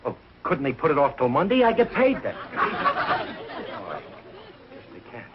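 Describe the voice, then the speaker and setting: A hard-up, good-hearted American family man, blustering and pleading in old sitcom style. His voice sits high and jumps around excitedly. The old television soundtrack is dull and noisy. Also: unhurried, a crowd in the background. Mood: rueful. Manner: blustery, colloquial, plaintive. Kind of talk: 1940s TV sitcom acting.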